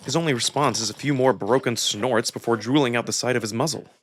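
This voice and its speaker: A man with a medium-pitched voice narrates a dramatic story in the first person. He reads expressively, acting out the narrator's thoughts as a voice actor would in a visual novel. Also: fast, noisy background.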